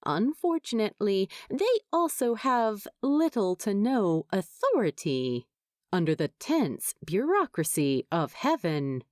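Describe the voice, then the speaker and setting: A woman reading a story aloud as an expressive, wry narrator. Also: medium pitch, dry recording, clean studio sound. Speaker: fan fiction narrator.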